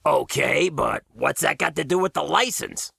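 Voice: medium pitch, lively intonation, moderate pace, creaky, fairly bright tone, husky, dry recording, studio quality, heavily acted. A storybook narrator voicing an earnest male diner owner who is blunt, questioning and easily astonished. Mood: incredulous.

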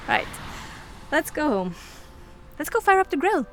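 A woman's voice: sits high, sing-song, measured pace, fairly bright tone, a little hiss or hum, heavily acted. A woman playing a frank, insistent character proud of her family history, speaking directly and pointedly in a naturalistic audio drama. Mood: brisk, playful.

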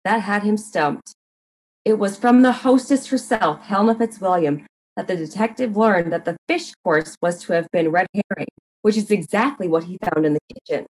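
A woman's voice: medium pitch, moderate pace, a little mellow, a little hiss or hum, rough recording. Woman narrating a mystery drama. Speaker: narrator and show host.

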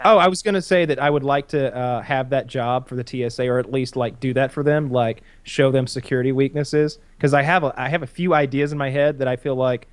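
A man's voice: medium pitch, a little fast, few pauses, clean tone, dry recording. A man in his thirties in casual chat, rambling with frequent restarts and swearing. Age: 30s.

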